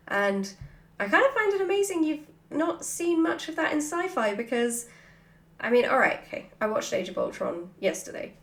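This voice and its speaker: A woman's voice: medium pitch, vocal fry. Chatty woman vlogger in an echoey room. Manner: animated, informal, jokey. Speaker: vlogger answering followers' questions. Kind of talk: casual chatty Q&A, off the cuff. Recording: roomy, echoey sound.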